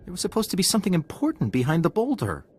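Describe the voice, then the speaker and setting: Whiny, anxious young male trainee for a fantasy game, higher voice, very animated, easily flustered. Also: very fast, slightly rough, heavily acted. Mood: relieved, explaining. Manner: complaining, flustered. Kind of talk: video game dialogue acting.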